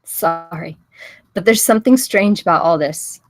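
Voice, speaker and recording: A woman's voice: lowish voice, normal speed, faint music behind, lightly acted. A woman at a table read playing a shaken, doubting young woman in a film drama. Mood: grieving, bewildered. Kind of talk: screenplay table read acting.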